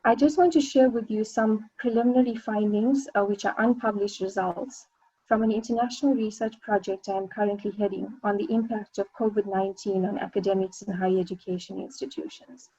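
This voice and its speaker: South African woman presenting on a webinar, mellow, husky, measured, dull call sound. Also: mid-range voice, steady tone, no echo. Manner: measured, with frequent ums and uhs. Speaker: senior lecturer, webinar panelist. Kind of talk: academic presentation. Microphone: video call.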